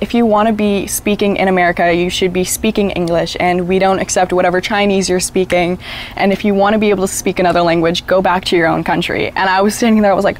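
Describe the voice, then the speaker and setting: A woman's voice: mid-range voice, a little hiss or hum. A young woman whose first language is Japanese, speaking reflectively with plenty of filler words. She is recorded outdoors on a lapel mic with faint ambience in the background. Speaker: interviewee. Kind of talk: documentary interview. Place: outdoors by a wooden wall.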